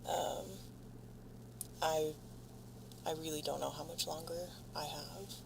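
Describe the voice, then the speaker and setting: A woman playing a frightened, foul-mouthed stranded spaceship crew member, recording halting, weary log entries in an audio drama. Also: sits low, little pitch movement, gravelly, background noise, full character voice. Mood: fearful, resigned.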